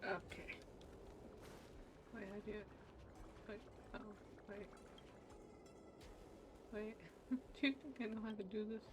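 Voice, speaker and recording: A woman's voice: medium pitch, steady tone, takes it slowly, pauses often, background noise. A young woman streaming a game for the first time, chatting casually and laughing at her own confusion. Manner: chatty, self-mocking, easily confused. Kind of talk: casual gameplay commentary. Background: game music.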